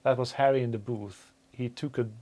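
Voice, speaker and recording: A man's voice: mid-range voice, steady tone, brisk. A man with a Hungarian accent, a record producer speaking earnestly in a documentary interview, with fillers and restarts. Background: music playing.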